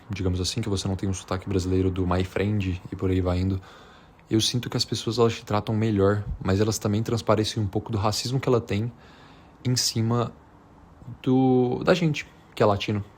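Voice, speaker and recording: A Brazilian man with a fairly low voice talks casually, as a vlogger would. He speaks informally and off the cuff, with slang and a hesitant, rambling flow. Some background noise and ambience sit behind him. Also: steady tone, fairly quick, darkish tone. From Minas Gerais, Brazil. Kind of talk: unscripted casual chat to camera.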